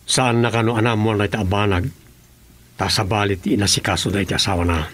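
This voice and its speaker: A man playing a serious, firm provincial official in a radio drama, with a rough-edged, earnest voice. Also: fairly low pitch, steady tone, quick pace, fairly bright tone.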